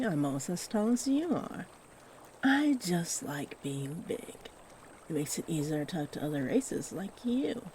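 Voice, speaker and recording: A woman voicing a chatty, kindly shapeshifting fairy, nearly human-sized, gentle and expressive, in a scripted roleplay spoken to the listener. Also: lowish voice, a little hiss or hum. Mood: friendly, chatty.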